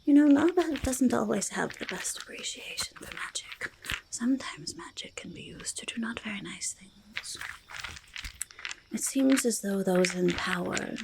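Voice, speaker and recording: A woman voicing a small, curious, gentle goblin in an ASMR roleplay, whispered and soft-spoken, breathy and creaky, often repeating little phrases. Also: fairly high pitch, lively intonation, measured pace. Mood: musing, hopeful. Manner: refers to self in third person, repeats phrases softly. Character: small curious goblin, gentle, eager, easily delighted hoarder.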